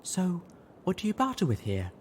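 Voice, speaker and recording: A man's voice: sits high, huge pitch swings, moderate pace, darkish tone, slight background noise, pitched above the performer's own voice. A man voicing a polite, genteel female pony medic with a courteous, inquisitive manner in a story reading. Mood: polite curiosity.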